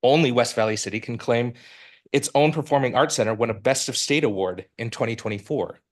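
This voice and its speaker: A man's voice: mid-range voice, a little fast. Earnest man in his forties addressing a council. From Utah, United States. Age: 40s. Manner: earnest, formal and persuasive, with some restarts. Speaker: resident giving public comment. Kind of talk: prepared public comment speech. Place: city council chamber.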